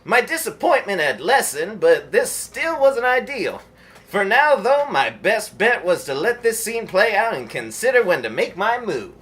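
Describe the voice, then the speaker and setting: Lecherous cartoon neighbour, punchy and theatrical, swoopy forceful voice, reading a story. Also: male voice, high voice, big pitch swings, a little slow, heavily acted. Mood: eager, lecherous. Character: sleazy, lecherous middle-aged male cartoon bachelor neighbour. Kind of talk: comedic first-person story reading.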